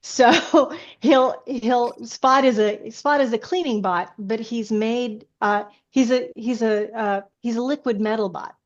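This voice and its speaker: A woman's voice: on the high side, unhurried, few pauses. A woman science fiction author chatting casually as a podcast guest, often breaking off and restarting with enthusiasm. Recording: dull, lo-fi sound.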